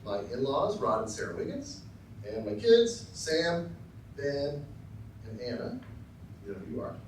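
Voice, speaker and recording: A middle-aged man from Texas giving a formal acceptance speech with dry, self-deprecating humor, often pausing with ums and uhs. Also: fairly high pitch, very animated, gravelly, noisy background. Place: large lecture hall. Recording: very echoey hall, voice from far off.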